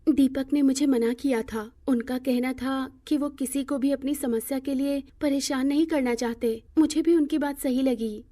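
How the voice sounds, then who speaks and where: Woman acting an earnest young wife, mellow voice. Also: high voice, steady tone, moderate pace, hardly any pauses. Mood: earnest, determined. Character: earnest, self-reliant young married granddaughter, respectful. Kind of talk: acted cartoon moral-story dialogue.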